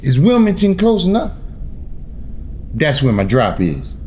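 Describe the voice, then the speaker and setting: Man acting an animated, plain-spoken trucker. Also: a little high, slight background noise. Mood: friendly. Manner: casual, halting, colloquial. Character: friendly, plain-spoken truck driver, curious and apologetic. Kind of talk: screenplay table read.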